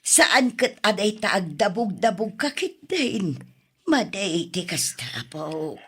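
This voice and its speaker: A woman's voice: mid-range voice, big pitch swings, a bit bright, breathy edge, heavily acted. A woman acting an exasperated, nagging but caring older grandmother in an Ilocano radio drama. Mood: gentle admonishing. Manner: nagging, sighing, drawn-out pleading.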